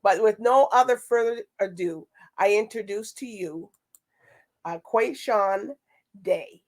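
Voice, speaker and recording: A woman's voice: mid-range voice, takes it slowly. Female talk-show host, casual and chatty, mid-range voice.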